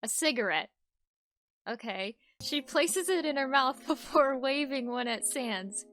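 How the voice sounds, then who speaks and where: A woman's voice: high-pitched, animated, measured pace, fairly bright tone, faint music behind. A woman reading fan fiction aloud in a casual, amused way, breaking off to comment. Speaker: voice actor, co-reader.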